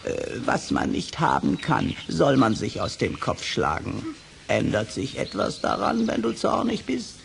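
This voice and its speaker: An actor playing a wise, mocking talking raven who nags in drawn-out croaks, in a children's radio play. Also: medium pitch, wildly swooping pitch, noisy background, full character voice. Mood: admonishing. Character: talking male raven, wise, scolding, mocking old friend.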